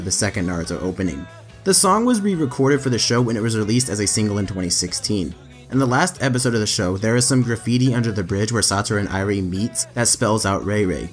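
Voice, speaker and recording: A man reading anime trivia as a scripted voice-over, with emphatic lifts in his delivery. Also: fairly low pitch. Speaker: anime trivia video narrator. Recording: faint background music under voice-over.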